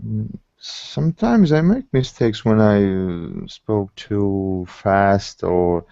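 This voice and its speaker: A man speaks in a deep, mellow voice. He talks very slowly and carefully. The sound is dry, dull and lo-fi. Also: expressive, creaky, no room sound. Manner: slow, careful English.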